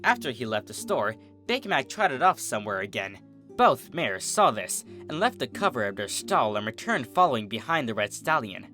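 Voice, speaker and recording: A narrator reading a fan fiction story aloud in an expressive, scripted storytelling style. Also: mid-range voice, normal speed, soft background music.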